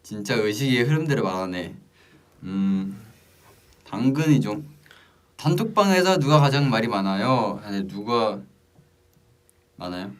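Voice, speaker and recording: A young man chatting casually on a livestream, reading out comments with hesitant pauses between short remarks. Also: fairly low pitch, big pitch swings, distant mic. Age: young adult. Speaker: idol group member hosting a livestream.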